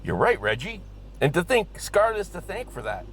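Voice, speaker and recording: A man's voice: sits high, wildly swooping pitch, normal speed, slight background noise, full character voice. A man playing an earnest, put-upon pizzeria worker in a comedy audio drama, skeptical and fretful. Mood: resigned.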